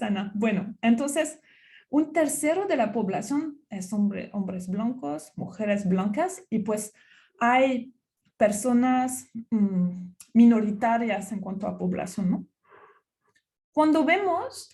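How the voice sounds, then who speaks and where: A woman's voice: a little high, animated, takes it slowly, a little mellow. French-accented woman giving a talk. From France. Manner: hesitant, many fillers and restarts. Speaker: university researcher giving a talk. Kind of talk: conference talk.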